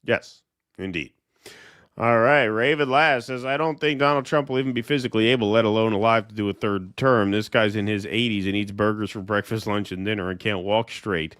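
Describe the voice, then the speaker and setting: A man co-hosting a political livestream reads viewers' comments aloud and thanks them by name. His voice sits low. The sound is dry, with no room around it. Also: fairly even intonation, brisk, a bit bright, no echo. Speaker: co-host reading viewer comments. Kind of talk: casual livestream chat.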